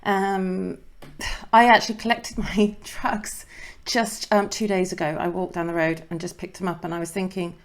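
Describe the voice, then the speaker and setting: A woman's voice: lowish voice, flat delivery, moderate pace. A woman hosting an interview show, asking questions and pressing her points in a concerned, emphatic way. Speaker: host. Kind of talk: video interview.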